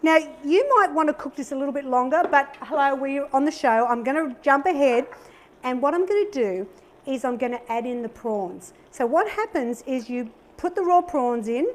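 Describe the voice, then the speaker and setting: Bubbly high-voiced Australian woman. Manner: bubbly, chatty, upbeat. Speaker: cooking show host. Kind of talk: live cooking demonstration.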